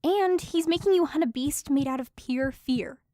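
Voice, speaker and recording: Female actor as high-pitched young adventuring woman, level-headed, polite, doubting, fantasy audio drama, close dry sound. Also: moderate pace, mellow, dry recording, close to an everyday voice. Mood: reasoning, uneasy.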